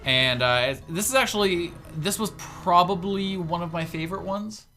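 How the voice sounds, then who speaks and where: A man's voice: high-pitched, normal speed, bright, forceful tone. A man playing a video game and commenting casually as he goes, breaking into short exclamations. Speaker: gaming show co-host and player. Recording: game music behind.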